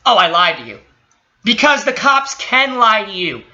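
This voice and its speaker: Very sharp, indignant lo-fi commentator. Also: mid-range voice, sing-song, moderate pace. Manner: indignant, profane, emphatic. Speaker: commentator reacting to a recorded call. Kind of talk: impromptu opinionated commentary.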